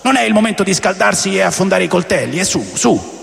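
A man's voice: high voice, lively intonation, quick pace, gravelly, a bit bright, big-room sound, pitched above the performer's own voice, exaggerated voice. A man playing an anxious lawyer who pleads and placates, conciliatory, in a radio drama. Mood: pleading, placating. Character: anxious pleading male lawyer soothing a quarrel.

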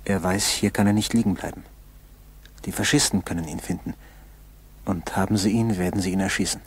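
A man narrating a radio play solemnly, in a measured way with dramatic pauses. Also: low-pitched, fairly even intonation, husky.

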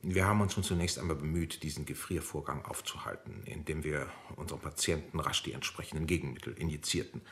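A low-pitched male army doctor in a radio play. He sounds clinical and careful, laying out technical matters in measured phrases with small hesitant pauses. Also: steady tone, a bit bright. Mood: explanatory, measured. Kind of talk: radio drama dialogue.

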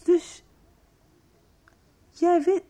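A woman's voice: drawn-out words, mellow. A young woman acting an upset, accusing teenage daughter in a radio soap. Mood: angry, accusing. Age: young adult.

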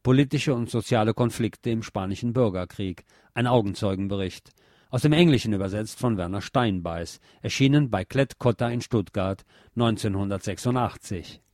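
Man, scripted narration, lowish pitch, very dry recording. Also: normal speed, a little mellow, no room sound. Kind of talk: scripted narration, author biography and book credits.

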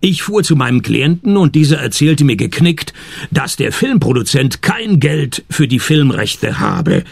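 An actor playing a dry, sardonic male private detective in a radio play, with a creaky voice and wry delivery. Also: mid-range voice, measured pace, no room sound, exaggerated voice. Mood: deadpan narration. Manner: deadpan, wry. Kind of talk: scripted radio detective narration and dialogue.

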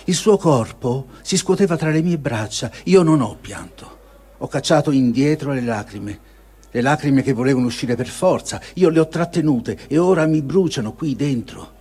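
A man giving a solemn, measured dramatic reading of a first-person diary, with feeling and deliberate pauses. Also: medium pitch, expressive, mellow, slightly rough. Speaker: reader of a testimony.

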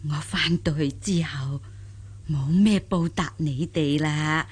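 A woman's voice: sits low, takes it slowly, husky, exaggerated voice. A woman playing a grateful, deferential elderly countrywoman in a radio drama, rambling and sighing. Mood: humble gratitude. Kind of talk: Cantonese radio drama acting.

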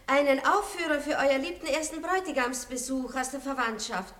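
A poised, dryly ironic princess in an old radio play. Her voice is high and gracious but commanding. The recording carries a roomy echo. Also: brisk, a bit bright. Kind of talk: 1960s radio drama acting.

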